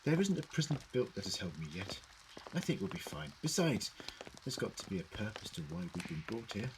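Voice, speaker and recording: A man's voice: on the low side, sing-song, brisk, noisy background. A man playing a confident, eccentric time-travelling adventurer in a fan audio drama, wry and self-assured. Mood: reassuring, musing.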